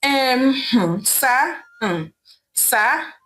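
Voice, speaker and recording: A woman's voice: high voice, animated, extremely slow, sharp tone, faint music behind, voice pushed up, exaggerated voice. A woman voicing a nervous, stammering housemaid with a Nigerian accent in a told story. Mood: nervous, hesitant. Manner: hesitant, stammering. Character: nervous housemaid, fidgeting and stammering before her boss. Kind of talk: storytelling character voice.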